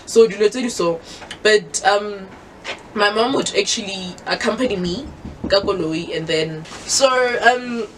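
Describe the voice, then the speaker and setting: A woman's voice: medium pitch, unhurried, recorded from a distance, a little hiss or hum, poor audio. A young South African woman, a native Sepedi speaker, telling her story as a guest in a casual interview and mixing English with Sepedi.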